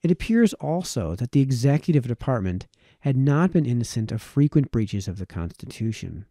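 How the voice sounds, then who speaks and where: A man narrates a formal old text in a very dark, soft-edged voice. His phrasing is measured and formal, and the sound is dry and close, with little room around it. The pitch sits in an ordinary male range. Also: big pitch swings, no echo. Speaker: reader, narrator. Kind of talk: reading a historical political text aloud, scripted narration.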